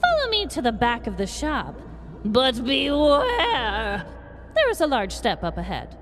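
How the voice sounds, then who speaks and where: A woman voicing a sly, ominous old witch shopkeeper in a scripted Halloween podcast skit, theatrical and drawn-out. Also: fairly high pitch, wildly swooping pitch, loud music behind the voice, pitched above the performer's own voice. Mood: sly, coaxing.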